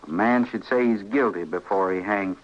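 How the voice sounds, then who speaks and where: A man's voice: lowish voice, close to an everyday voice. A man playing a simple, polite, obsessed drifter with a rural American accent in an old-time radio western. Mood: earnest, brooding. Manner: slow-witted, folksy, deferential. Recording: muffled old radio recording.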